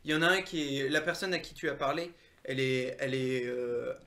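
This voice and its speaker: A man runs a tabletop roleplaying game. His voice sits at a medium pitch. He improvises descriptions haltingly, pausing as he builds each scene for the players. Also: sharp tone. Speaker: game master.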